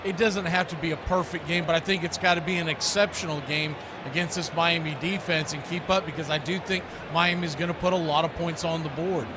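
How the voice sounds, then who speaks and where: A man's voice: fairly high pitch, steady tone, a little fast, few pauses, background noise. An American man hosting a live football watch party, chatting casually and in a folksy way as he previews the game.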